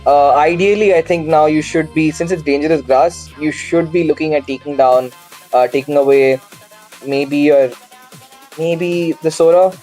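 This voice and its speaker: A man casting a live esports match, asking pointed questions about the plays, his voice worn from shouting. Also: on the high side, steady tone, moderate pace, faint music behind. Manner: questioning, incredulous analysis. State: strained voice after shouting. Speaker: esports caster.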